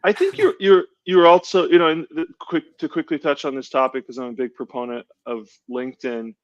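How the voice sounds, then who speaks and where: A man's voice: a little high, animated, brisk, no echo, lo-fi sound. A male podcast co-host, chatting enthusiastically on a video call and rambling through fillers and restarts. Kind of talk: casual podcast chat.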